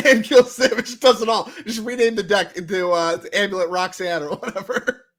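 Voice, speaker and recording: A man's voice: sits high, fairly even intonation, moderate pace, a bit bright. A man hosting a post-match interview at a gaming event, upbeat and joking as he congratulates the winner. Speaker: event commentator and host.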